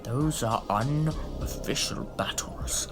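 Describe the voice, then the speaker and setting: An actor playing a stately, defiant Lord President in a sci-fi audio drama, speaking in a grave, formal, measured way. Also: male voice, medium pitch, music in the background, natural voice. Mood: haughty defiance.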